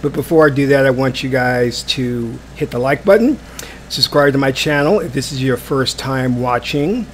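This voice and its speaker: A man hosting his own live online broadcast, sounding stuffy from a cold and talking in a halting way with many fillers and restarts. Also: medium pitch, slight background noise. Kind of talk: casual live commentary. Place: indoors, warm room. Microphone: adjustable microphone.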